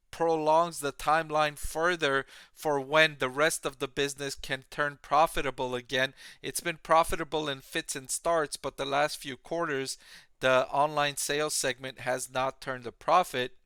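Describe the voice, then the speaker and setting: A man presenting explanatory financial commentary as an analyst, speaking in measured, emphatic, deliberate phrases. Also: fairly high pitch, sharp tone, clean tone, no echo, clean studio sound. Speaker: financial analyst and video host. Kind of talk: explanatory commentary on an earnings call.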